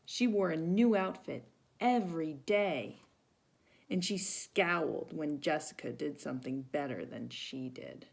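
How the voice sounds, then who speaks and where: A woman reading a children's story aloud expressively, pausing to chat with young listeners. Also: low voice, vocal fry. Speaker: volunteer storybook reader for children.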